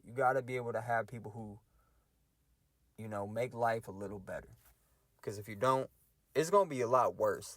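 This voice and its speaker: A young man in his mid-twenties rants to himself in a loose, slangy, reflective way, his voice sitting at an ordinary middle pitch. The sound is dry and close, with no room around it. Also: dry recording. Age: 20s. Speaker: podcast host ranting. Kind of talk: casual solo rant.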